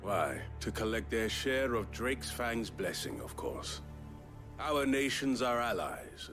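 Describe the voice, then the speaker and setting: An actor playing a smug, corrupt trader in a video game, formal and oily in manner. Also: male voice, lowish voice, full character voice. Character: smooth, smug corrupt crystal trader, a man. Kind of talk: theatrical video game acting.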